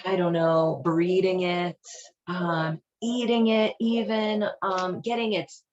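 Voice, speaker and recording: A woman giving casual, irreverent commentary as a host, with a sardonic, halting, colloquial delivery. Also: fairly low pitch, little pitch movement, darkish tone, muffled recording, a little hiss or hum. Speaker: host of a video series on leaving religion.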